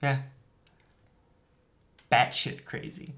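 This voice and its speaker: A man's voice: drawn-out words, bright, forceful tone. A young man co-hosting a podcast, chatting casually and animatedly, jumping between tangents and laughing often. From Filipino family background. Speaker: podcast co-host. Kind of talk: casual chat.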